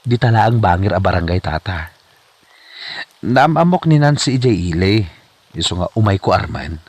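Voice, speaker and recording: A man's voice: sits low, sing-song, normal speed, no echo. A man playing an eager, nervous and boastful suitor in an Ilocano comedy drama, with an Ilocano accent. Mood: nervous, polite.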